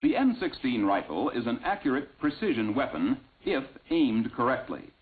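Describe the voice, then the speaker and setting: A man reading scripted instructional narration in a measured, clearly enunciated way, on an old film soundtrack. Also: mid-range voice, sing-song. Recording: tinny, band-limited vintage film soundtrack.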